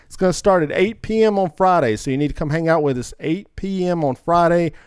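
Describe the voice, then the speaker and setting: A male podcast host with a clear, smooth voice talks casually and loosely through his announcements. He rambles in an informal, buddy-like way, and the sound is dry and close. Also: on the high side, a little fast, no room sound. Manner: informal, rambling, says man a lot. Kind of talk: casual chat, show announcements.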